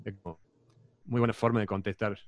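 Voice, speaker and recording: Halting man from Texas, dry sound. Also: on the low side, flat delivery, no echo, muffled recording. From Texas, United States. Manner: halting, searching for words. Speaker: guest. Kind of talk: casual podcast chat.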